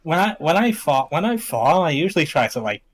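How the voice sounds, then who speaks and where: A man's voice: a little high, big pitch swings, fairly quick, a little hiss or hum. Young man with a Liverpool accent. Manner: informal, slangy. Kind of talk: casual chat.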